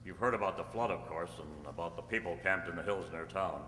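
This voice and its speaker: A man playing a calm, upright masked cowboy hero with measured, formal delivery, in old-time radio style on stage. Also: low-pitched. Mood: persuasive. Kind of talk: old-time radio drama acting read from script. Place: stage in a community hall. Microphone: stand microphone. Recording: echoey hall, humming background noise.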